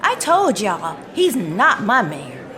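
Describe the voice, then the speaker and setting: A woman's voice: medium pitch, big pitch swings, slow pace, a crowd in the background. A woman playing an indignant, skeptical neighborhood resident in an audio drama, scornful and protesting.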